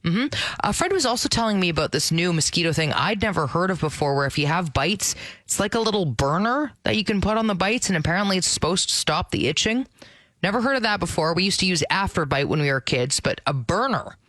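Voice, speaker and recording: Raspy lady host, bright punchy tone, chatty and goofy, studio mic. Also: lowish voice, expressive, fast talker, no room sound. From Ontario, Canada. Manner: chatty and playful, with exaggerated exclamations. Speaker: radio podcast host. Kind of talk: casual chat. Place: radio studio.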